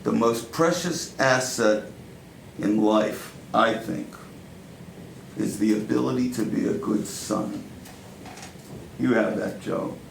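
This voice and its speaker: Man acting gravelly New power lawyer, fatherly. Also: lowish voice. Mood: assured, forceful. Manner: deliberate, emphatic, persuasive. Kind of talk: dramatic stage monologue. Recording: roomy echo, faint background noise.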